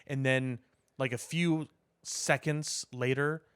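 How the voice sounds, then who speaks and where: Wordy male podcast co-host, medium pitch. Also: fairly even intonation, slow pace, a bit bright, clear, resonant tone. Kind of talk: casual analytical discussion.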